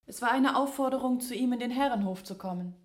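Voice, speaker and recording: An actress in a German radio play playing a solemn village storyteller. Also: female voice, fairly high pitch, quick pace, lightly acted.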